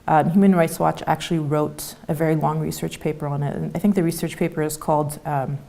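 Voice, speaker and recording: Interview guest, woman in her thirties, gravelly low voice, hesitant and soft-spoken. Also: level tone. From Canada. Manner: soft-spoken, halting, many fillers. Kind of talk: conversational interview.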